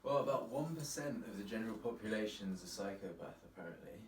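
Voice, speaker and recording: A man's voice: lowish voice, animated, fast talker, far from the mic, slight background noise. A man who grew up in Spain, talking in easygoing, thoughtful casual chat and laughing often. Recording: roomy, held away from the mic.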